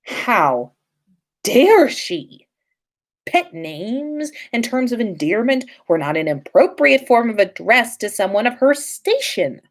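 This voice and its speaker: A woman narrating fan fiction aloud as a podcast host, in an expressive storytelling style. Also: fairly high pitch, slow.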